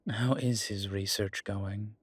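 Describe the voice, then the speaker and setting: Man playing a husky, slow, sardonic old vampire. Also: fairly low pitch, steady tone, dry recording. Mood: amused. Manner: dry, wry, unhurried. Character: centuries-old male vampire, sardonic and self-assured. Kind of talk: dramatic audio roleplay.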